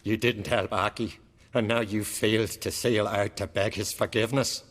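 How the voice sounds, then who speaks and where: An actor playing a tormented, haunted jarl who speaks in solemn, archaic, fatalistic lines. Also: male voice, fairly low pitch, flat delivery, moderate pace, fairly bright tone. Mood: grim, haunted. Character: tormented haunted old jarl, brooding and grim. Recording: long hall reverb.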